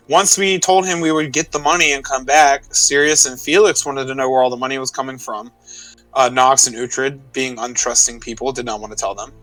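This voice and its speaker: A man's voice: medium pitch, fairly bright tone, no echo. Distant-sounding man recapping a tabletop game. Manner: conversational, checking details with the others. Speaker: player in a tabletop roleplaying game. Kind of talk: casual recap of a game session. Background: music.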